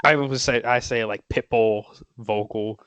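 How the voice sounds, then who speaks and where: A man's voice: mid-range voice, fairly even intonation, unhurried, a bit bright, clean tone, muffled recording. Enthusiastic man hosting an interview. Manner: enthusiastic, lots of "like" and "dude". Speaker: interview host. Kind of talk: casual interview chat.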